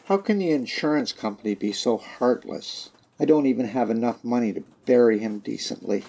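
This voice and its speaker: Aggrieved, scheming son for radio mystery male actor, husky voice in a medium register. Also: medium pitch, normal speed, warm tone. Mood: bitter. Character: aggrieved son, a scheming killer feigning grief. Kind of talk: radio mystery drama acting.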